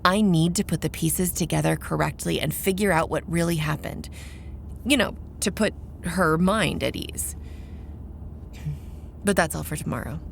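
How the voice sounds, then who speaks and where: An actress playing a brooding, wary young vampire researcher in an audio drama, dry and guarded in both dialogue and first-person narration. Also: female voice, low-pitched, moderate pace, creaky, slight background noise. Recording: background music under the voice.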